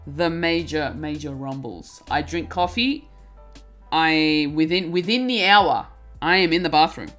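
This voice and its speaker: A woman's voice: low-pitched, measured pace, fairly bright tone. A young woman streaming while she crafts, chatting casually and giggling, often restarting her words as she talks to her chat. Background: faint lo-fi music.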